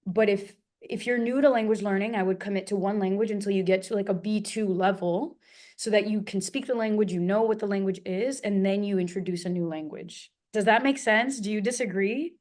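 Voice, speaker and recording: A woman co-hosting a casual talk about learning languages, animated and thinking aloud with quick fillers. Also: medium pitch. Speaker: polyglot co-host. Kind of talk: casual conversation.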